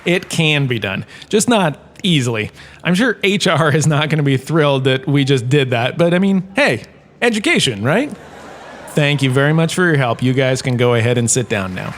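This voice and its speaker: An actor playing a washed-up former star turned lecturer, giving a breezy, joking lecture in a comic audio drama. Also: male voice, mid-range voice, sing-song, moderate pace, a faint crowd, a little hiss or hum, natural voice. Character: washed-up former star, now a lecturer, chatty and self-important.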